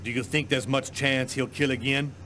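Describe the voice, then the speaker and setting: Man playing a police boss, medium pitch, demanding, impatient, game dialogue, slight background noise. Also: little pitch movement, normal speed, pushed and bright, close to an everyday voice. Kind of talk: video game voice acting.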